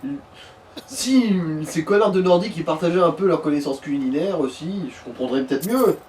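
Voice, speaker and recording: A man's voice: on the high side, moderate pace, darkish tone. A man chatting casually as a co-commentator, explaining things in colloquial, informal speech. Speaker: let's play co-commentator. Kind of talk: casual chat. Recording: distant mic, faint room noise.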